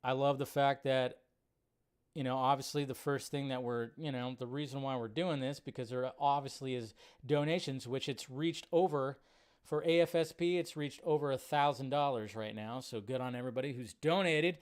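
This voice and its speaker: A man's voice: medium pitch, steady tone, normal speed, smooth. A man hosting a live stream with casual, enthusiastic, rambling commentary over a video.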